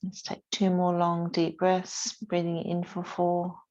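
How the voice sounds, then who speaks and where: Woman yoga teacher, lowish pitch, very flat intonation, soothing guided-practice delivery, short cues with pauses. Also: muffled recording. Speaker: yoga instructor. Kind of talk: guided yoga and relaxation instruction.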